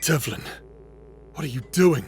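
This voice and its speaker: Man acting jaded, deadpan demon hunter. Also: on the high side, unhurried, a crowd in the background. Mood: irritated. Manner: cynical, deadpan, sardonic. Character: jaded, paranoid, pill-popping male demon hunter. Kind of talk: sci-fi audio drama acting. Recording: music bed underneath.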